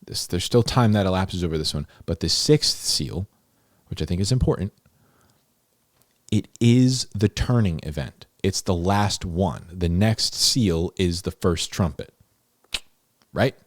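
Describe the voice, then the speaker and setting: A man's voice: deep voice, measured pace, no room sound, clean studio sound. A man giving informal, unscripted Bible teaching in a conversational, emphatic way, repeating key phrases for stress. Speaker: host of a Bible-explainer series, teacher.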